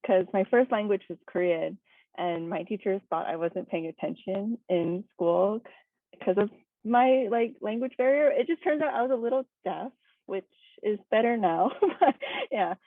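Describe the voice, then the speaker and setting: A young woman from Hawaii speaking as a guest presenter, telling her story in a relaxed, chatty way full of fillers and little laughs. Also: mid-range voice, moderate pace, no echo. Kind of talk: casual personal talk. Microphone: video call. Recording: dry tinny video call audio.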